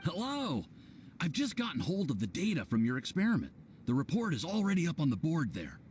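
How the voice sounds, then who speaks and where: A man playing an earnest, bookish young research assistant in a video game, with animated, slightly hesitant academic phrasing. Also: on the high side, fairly quick, slight background noise. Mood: eager. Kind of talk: scripted video game voice acting.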